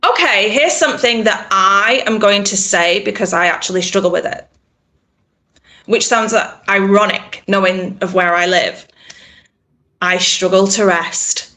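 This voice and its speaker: Middle-aged woman, PR consultant guest. Bright voice, emphatic, animated, casual delivery. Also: mid-range voice, unhurried. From England. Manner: animated, emphatic, acts out quoted thoughts. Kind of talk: casual interview conversation.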